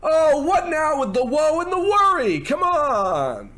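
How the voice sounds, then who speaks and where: Man playing a high-pitched, slick showbiz producer. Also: big pitch swings, fairly bright tone, full character voice. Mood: brash, flippant. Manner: fast-patter salesman, gushing pet names. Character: slick, pushy, glib showbiz producer, male. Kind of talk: old-time radio comedy-drama acting.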